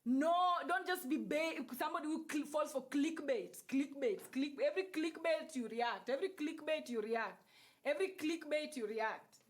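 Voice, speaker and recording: Kenyan-accented woman with a high voice, emphatic, repetitive livestream talker. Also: normal speed. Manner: repetitive, emphatic, switches into Swahili and Luo phrases. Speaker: livestream host. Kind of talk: casual spiritual talk to live viewers.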